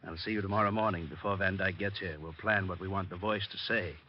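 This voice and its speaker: An actor playing a sly, smooth-talking scheming doctor with calm authority in a 1940s radio crime drama. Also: male voice, deep voice, fast talker, a little hiss or hum, close to an everyday voice. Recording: muffled old radio recording, faint music.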